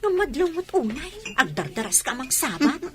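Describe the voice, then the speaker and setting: A woman's voice: high voice, very animated, normal speed, fairly bright tone, soft background music. A woman playing a sensible, cautioning young village woman in a comedy radio drama. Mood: wary, chiding.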